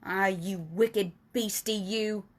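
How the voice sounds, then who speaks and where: Here is a big, kindly storybook giant, acted out by a woman. He is earnest and excitable, explaining things emphatically. His speech is folksy and muddled in its grammar. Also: mid-range voice, takes it slowly. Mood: exasperated, triumphant. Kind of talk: children's storybook character reading.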